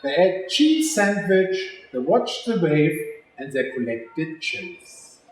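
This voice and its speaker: A man's voice: fairly high pitch, big pitch swings, slow. A man reading a children's story aloud, speaking directly and expressively to young listeners. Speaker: executive chef reading a children's story for a charity. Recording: very echoey room, faint background noise.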